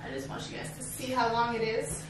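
A woman's voice: on the high side, flat delivery, fairly quick. A young woman vlogger chatting casually to her viewers in a gushing way, saying "like" often. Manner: gushing, filler-heavy "like" speech. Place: indoors at home, echoey room. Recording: echoey room, far from mic, noisy.

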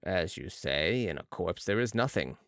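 A reader voicing a solemn, mysterious Italian master who speaks gravely and quietly in an audiobook. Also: low voice, lively intonation, normal speed, no room sound, studio quality, pitched below the performer's own voice. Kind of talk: audiobook character reading.

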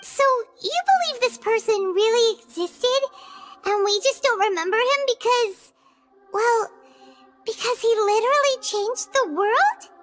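A woman's voice: very high voice, a little slow. A woman voicing a tiny, childlike, inquisitive fairy companion in a video game, with a naive questioning manner. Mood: puzzled. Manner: questioning, naive, refers to herself by name. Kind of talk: scripted game dialogue. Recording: game music behind.

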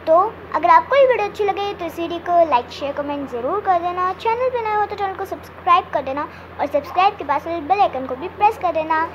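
Very high-pitched young girl. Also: dull audio, a little hiss or hum. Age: child, under twelve. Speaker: host of children's story channel. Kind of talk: addressing viewers, presenting a moral story. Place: small home room indoors. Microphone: phone at arm's length.

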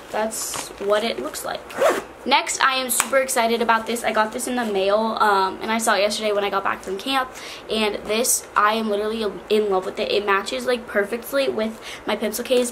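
Teen girl vlogger, slightly hoarse, higher voice, chatty; bedroom with faint fan hum. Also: a bit bright, poor audio. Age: teens. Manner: chatty, bubbly, lots of "like". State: slightly hoarse from cheer camp. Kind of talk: casual backpack vlog. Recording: faint fan noise behind.

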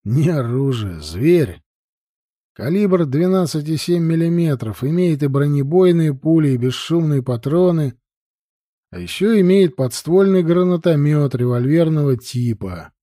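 A man reads an action novel as a scripted narration. His voice is mellow and moves animatedly in pitch, with measured, dramatic phrasing. The recording is dry and close, free of room sound. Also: animated, no room sound. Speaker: audiobook narrator.

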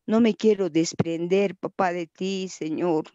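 A woman prays aloud at a slow, unhurried pace, earnest and pleading, often letting the ends of her phrases fade to a near murmur. Her voice sits in a middle range and sounds dry and close. The recording is dull and lo-fi. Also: lowish voice, warm tone, dry recording. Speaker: person praying. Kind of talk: spontaneous devotional prayer.

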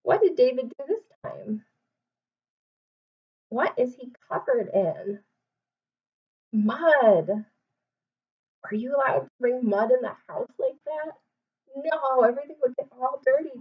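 Animated young woman reading to children, high-pitched. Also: takes it slowly, long pauses, husky. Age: 20s. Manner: animated, simple questioning teacher talk. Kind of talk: read-aloud for children with questions to the listeners. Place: small home room, indoors. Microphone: webcam or laptop mic at arm's length. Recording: dull webcam sound, distant mic.

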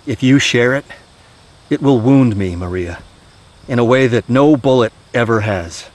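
An actor playing a weary, haunted middle-aged soldier and gentle father in an audio drama, halting and confiding. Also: mid-range voice, close to an everyday voice. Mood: earnest, confiding. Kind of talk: naturalistic audio drama acting. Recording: steady outdoor night-insect noise.

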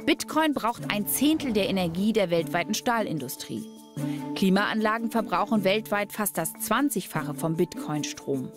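A woman's voice: medium pitch, expressive, moderate pace. Female documentary narrator over music.

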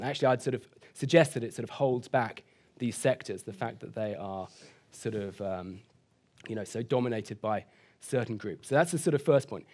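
A man in his thirties answering questions after a keynote at a conference, hedging often and speaking quickly. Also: medium pitch, darkish tone. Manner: hedging, sort of, you know. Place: conference hall stage.

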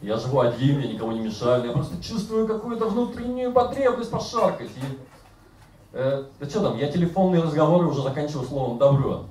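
Deadpan man in his thirties, roomy live room. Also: a little high, fairly quick, recorded from a distance, a little hiss or hum. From Siberia. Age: 30s. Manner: deadpan, self-mocking, acts out other voices. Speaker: stand-up comedian. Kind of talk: stand-up routine. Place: bar stage with audience. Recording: roomy live bar recording from afar.

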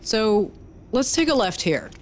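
A woman's voice: a little high, very animated, unhurried, slight background noise. An actress playing a wary young-sounding woman out with a friend, in naturalistic audio drama dialogue. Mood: casual. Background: music.

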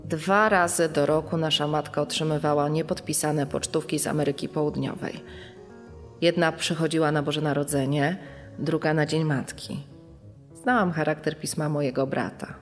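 An actress as a wistful sister giving testimony in an audio documentary. Her mid-pitched voice rings in a long reverb, with faint music behind. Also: steady tone, normal speed, lightly acted. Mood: wistful recollection. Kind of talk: acted testimony in a true-crime audio documentary.

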